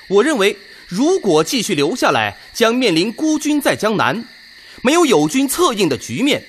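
High-voiced cautious army officer. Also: male voice, fairly bright tone, a little hiss or hum, full character voice. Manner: formal, reasoned objection. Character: cautious male army officer at war council. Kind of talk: radio drama acting.

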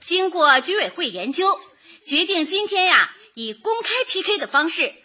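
A woman acting an excitable, cheerful neighbourhood committee organiser who addresses residents in a light radio comedy, with exclamatory delivery. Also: very high-pitched, moderate pace, heavily acted. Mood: eager announcing. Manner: exclamatory, announcing to a crowd. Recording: dull audio with crowd behind.

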